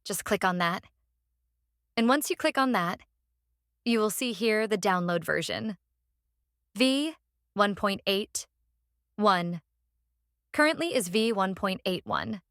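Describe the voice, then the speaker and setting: A woman who speaks English as a second language hosts a step-by-step software tutorial in a halting, repetitive instructional way. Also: medium pitch, lively intonation, clear, resonant tone, dry recording, clean studio sound. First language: non-native English speaker. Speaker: tutorial host.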